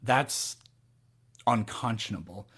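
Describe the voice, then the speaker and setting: A man's voice: on the low side, slow, a bit bright, slightly rough. A man in his thirties hosts a call-in podcast. He sounds exasperated and sarcastic, and he rattles off examples to rebut his caller. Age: 30s. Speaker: podcast host.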